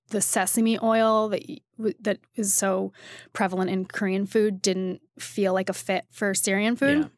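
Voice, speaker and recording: Female, mid-pitched, conversational interviewee; halting, self-interrupting delivery full of "like"; very little room sound. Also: no room sound, studio quality. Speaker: restaurant critic, interview guest.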